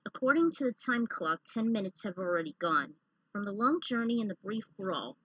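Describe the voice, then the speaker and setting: A woman's voice: mid-range voice, expressive, moderate pace, fairly bright tone, no room sound, muffled recording. A woman hosting with casual commentary and reading a story she has written out. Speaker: host and fan fiction reader.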